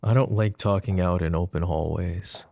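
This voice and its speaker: A man acting a flirty, possessive mafia boss in an intimate ASMR roleplay, speaking softly and unhurriedly in a teasing, world-weary way. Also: sits low, steady tone, normal speed, gravelly. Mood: amused, candid. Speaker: voice actor.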